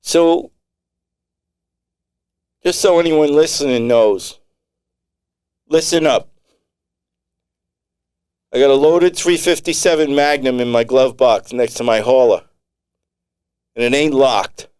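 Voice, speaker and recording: Man reading the part of a tough, foul-mouthed clammer, high-pitched and threatening, smooth clear tone. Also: measured pace, lightly acted. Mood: menacing. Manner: gruff, threatening, swearing. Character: hostile, foul-mouthed local bayman on the radio.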